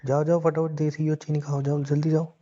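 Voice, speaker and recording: Mellow teasing young man on phone. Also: a little high, little pitch movement, brisk. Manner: teasing, joking. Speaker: boyfriend on a phone call. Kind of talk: casual phone chat. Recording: dull lo-fi call recording.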